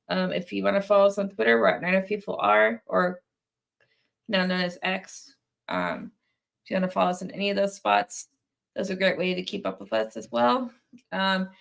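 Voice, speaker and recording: A young woman hosts a live post-game fan stream while fighting off a cold. Her voice is nasal and stuffed up, with a gravelly fry at the bottom of her phrases. She rambles casually, full of ums and restarts, at an ordinary middle pitch. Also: fairly bright tone. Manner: rambling, many ums and restarts, reads viewer comments. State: sick, congested. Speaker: podcast host.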